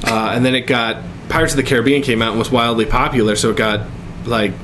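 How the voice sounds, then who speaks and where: A man's voice: medium pitch, steady tone, fast. A man co-hosting a talk show in casual, unscripted banter with a wisecracking manner. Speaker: co-host of a video game and movie talk show. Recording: faint background noise.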